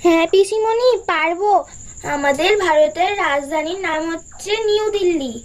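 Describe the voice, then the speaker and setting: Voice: very high voice, normal speed. A performer voicing an eager young schoolchild doll in a puppet story, with a sing-song childlike delivery. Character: eager young schoolchild dolls answering quiz questions.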